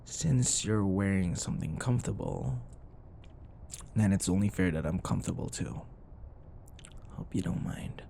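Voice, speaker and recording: A man acting a teasing, flustered young office rival in an intimate ASMR boyfriend roleplay, halting and sometimes dropping into breathy murmurs and sighs. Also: low-pitched, mellow, a little hiss or hum. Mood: teasing, smug.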